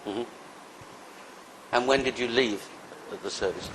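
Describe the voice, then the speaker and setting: A man interviewing a guest, asking measured, prompting questions. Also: medium pitch, fairly bright tone, a little hiss or hum, rough recording.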